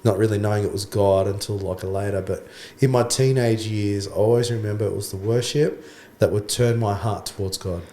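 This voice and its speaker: Male worship pastor, hoarse and croaky, casual podcast guest, lowish voice, echoey recording. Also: a little fast. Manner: relaxed, chatty, says mate and bro. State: hoarse, lost his voice. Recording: echoey room, faint background noise.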